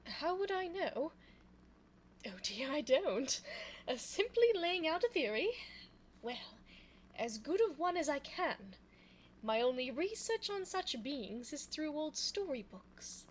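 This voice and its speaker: Woman playing a high, slow-talking seductive vampire lady. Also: very animated, full character voice. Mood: coy, evasive. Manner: theatrical, coy, taunting, breathy asides. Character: evil seductive immortal female vampire, sly and possessive. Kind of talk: ASMR audio roleplay. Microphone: close binaural 3Dio microphone. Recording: faint background noise, close binaural mic.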